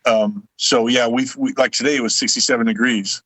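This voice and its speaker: A man's voice: medium pitch, fairly even intonation, a little fast, fairly bright tone, no echo, rough recording. An American man chatting casually as a guest, with a wry, conversational way of talking. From United States, Illinois. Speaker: guest voice performer. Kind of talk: casual chat.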